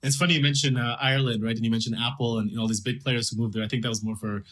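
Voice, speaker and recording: Fast-talking male podcast host. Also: mid-range voice, steady tone, fairly bright tone. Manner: quick, halting, frequent restarts. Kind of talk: interview conversation.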